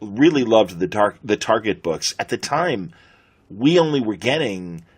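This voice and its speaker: A middle-aged man with an American accent and a husky voice, chatting conversationally as a podcast host. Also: on the low side, animated, measured pace. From United States.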